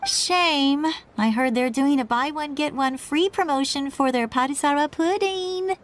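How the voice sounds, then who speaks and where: Coaxing best-friend role in a game fan dub , woman performer: high, casual, playful. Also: unhurried, a little hiss or hum. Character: friendly, teasing young female friend. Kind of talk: amateur fan voice-over of game dialogue.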